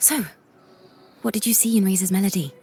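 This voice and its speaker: A woman plays a friendly wandering bard in a video game. Her voice is medium-pitched and articulate, poised and thoughtful over soft background music. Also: steady tone, fairly quick, lightly acted. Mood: thoughtful, teasing.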